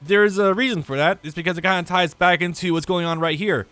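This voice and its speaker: A young man with a tired, raspy voice, talking casually and rambling to his gaming channel's viewers, with lots of fillers and some swearing. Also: high voice, fairly even intonation, a little fast, bright, forceful tone, no room sound, soft background music. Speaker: gaming YouTuber. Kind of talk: casual year-review vlog.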